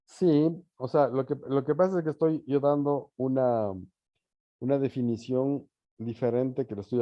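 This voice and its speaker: A male teacher gives an informal lecture in a mid-pitched voice. He hesitates as he thinks and keeps tacking short checking questions onto his points. The sound is dull and lo-fi, with little room echo. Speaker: university teacher leading an online or live class.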